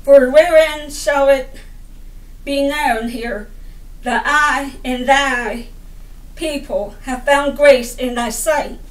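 Woman, high voice, halting scripture reading, deliberate phrasing, roomy distant recording. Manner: deliberate, broken phrasing with stumbles over words. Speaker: lay preacher reading scripture. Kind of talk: halting Bible reading aloud. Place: indoor room by a window. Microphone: camera a little way off. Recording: roomy, distant camera microphone.

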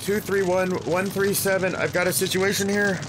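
An actor playing a flustered, halting policeman in an audio drama. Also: high voice, steady tone, noisy background, close to an everyday voice. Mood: flustered, shaken. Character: flustered policeman calling for backup.

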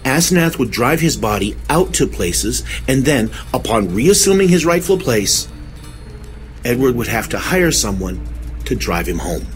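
An actor playing an earnest, troubled husband, recounting strange events emphatically in a horror audio drama. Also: male voice, medium pitch, big pitch swings, unhurried, faint music behind. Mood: insistent.

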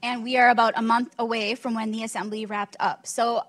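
A woman's voice: a little high, level tone, fairly quick. A woman moderating a panel discussion on a conference stage, friendly and joking. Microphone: handheld mic.

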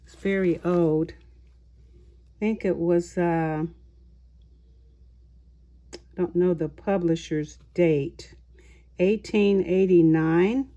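A woman hosting a haul video of her craft purchases, talking in an unhurried way with many small pauses and fillers. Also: lowish voice, fairly even intonation, dark tone, highs cut off. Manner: slow, unhurried, halting with fillers. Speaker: craft channel host. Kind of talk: show-and-tell haul video. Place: indoors at a tabletop, voice-over of hands.

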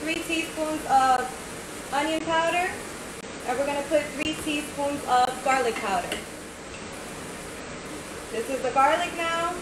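A woman's voice: high voice, unhurried, long pauses. A woman in her thirties hosting a casual home cooking video, talking through each step in a chatty way and often checking in with "right?". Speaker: home cook host. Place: home kitchen, indoors. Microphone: phone camera at arm's length. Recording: echoey kitchen with noise.

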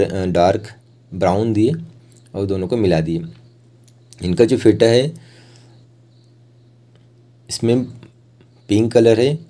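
A young man hosts an art tutorial in a lowish, very mellow voice. He talks through each step in a plain, explanatory way. Now and then he pauses mid-sentence to find the next word. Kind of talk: step-by-step instructional voice-over.

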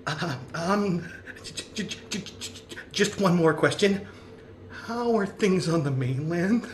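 A nervous, deferential engineer in an audio drama. His high voice stammers and trips anxiously, set in a roomy echo over faint noise. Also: slow. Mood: timid. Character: nervous, deferential male plant engineer, timid and worried.

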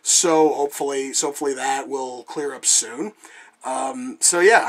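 A man with a lingering cough reviewing trading cards in a casual vlog, rambling and swearing freely while talking off camera. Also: a little high.

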